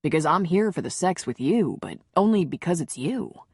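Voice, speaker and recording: Woman voicing a low, gruff, tender male lover. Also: very animated, moderate pace, darkish tone, no room sound, studio quality, full character voice. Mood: tender, reassuring. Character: big, gruff, tender man seducing his lover.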